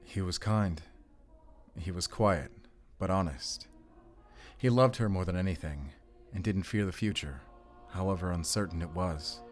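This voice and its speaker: A man's voice: low voice, a little slow, soft background music. A man playing a guarded, haunted and defiant werewolf hunter in a cinematic audio roleplay, speaking in a terse, solemn way. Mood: wistful. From Germany.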